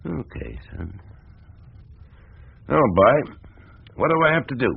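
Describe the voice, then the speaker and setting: An actor playing a proud, folksy older American small-town businessman in a radio drama, with a husky, gravelly voice and a plain-spoken manner. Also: medium pitch, very animated, slow, phone-quality sound, exaggerated voice. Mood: curious, genial.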